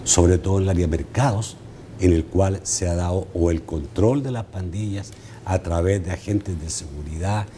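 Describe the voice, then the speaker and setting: Deep-voiced man hosting a debate. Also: warm tone, slight background noise. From El Salvador. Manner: hesitant, halting with many fillers. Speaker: debate show host. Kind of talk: televised debate moderation.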